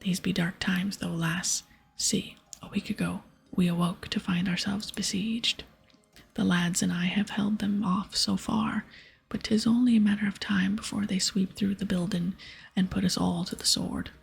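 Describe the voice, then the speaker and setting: Woman putting on a gravelly, breathy pirate captain. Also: mid-range voice, flat delivery, normal speed, exaggerated voice. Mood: grandiose, grave. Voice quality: breathy, half-whispered, gravelly. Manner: archaic pirate talk with arrs and ayes. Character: gruff, dramatic old-salt male pirate brewery captain. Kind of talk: ASMR video game dialogue read-aloud. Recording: soft music behind.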